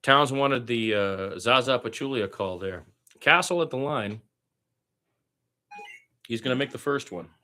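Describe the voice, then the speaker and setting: A man's voice: mid-range voice, lively intonation, unhurried, long pauses, pushed and bright. A man doing amateur radio-style basketball play-by-play in short clipped phrases, with opinionated asides as a partisan fan.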